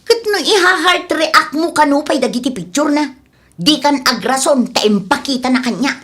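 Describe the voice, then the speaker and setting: A woman's voice: sits high, expressive, moderate pace, a bit bright. An actress playing a lovesick, troubled young woman in a radio drama, whiny and emotional in manner. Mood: indignant. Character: lovesick, distressed young woman quarrelling and confiding.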